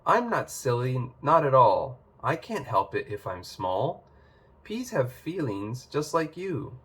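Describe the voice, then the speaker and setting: Man playing a small indignant pea, lively pitch. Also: slow, slightly rough, full character voice.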